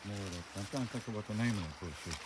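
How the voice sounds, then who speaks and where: A man's voice: lowish voice, rapid-fire. An older man giving a casual, folksy walk-around commentary outdoors, thinking aloud in plain words as he goes. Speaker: vlogger showing his farm. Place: outdoors on a rural farm. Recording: very noisy outdoor amateur recording.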